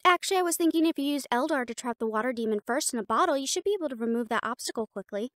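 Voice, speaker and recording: A snobby catgirl cheerleader, voiced by a woman, sits high in her voice and talks down to everyone with a teasing edge. It sounds like a dry, close recording with no room around it. She stays bossy and catty throughout. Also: expressive, rapid-fire, dry recording. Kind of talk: audio roleplay acting.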